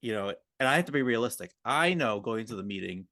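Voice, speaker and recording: A man's voice: mid-range voice, expressive, fast, sharp tone, no echo, clean studio sound. A man co-hosting a podcast, talking in an informal, collaborative, conversational manner. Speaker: podcast co-host. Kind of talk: roleplay and conversational coaching discussion.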